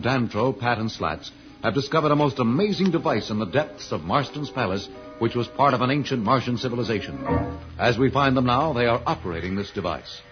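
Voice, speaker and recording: A man narrating as announcer for an old-time radio adventure serial. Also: mid-range voice. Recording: dull vintage radio recording. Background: organ music.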